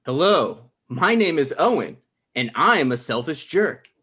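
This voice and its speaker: A man's voice: on the high side, sing-song, a little slow, sharp tone, breathy edge, exaggerated voice. A man acting as a sheepish, self-absorbed young man in a comedy table read, blunt and self-deprecating. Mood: blunt, confessional.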